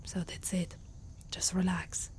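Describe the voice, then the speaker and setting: A woman playing a protective, battle-worn female centaur in a soft, breathy ASMR whisper, speaking gently and intimately. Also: fairly low pitch, little pitch movement, slow. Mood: caring, curious. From Italy.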